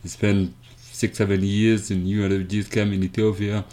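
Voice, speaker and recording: A man from South Sudan telling his life story as an interview guest, speaking haltingly with many fillers and restarts. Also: fairly low pitch, little pitch movement, dark tone. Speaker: guest, nonprofit founder.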